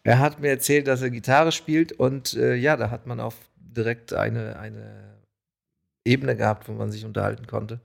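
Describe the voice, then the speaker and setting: Male guest from Poland, mid-pitched with a gravelly edge, halting and hesitant. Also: steady tone. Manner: halting, many fillers. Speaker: guest musician. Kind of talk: casual interview talk. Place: podcast studio.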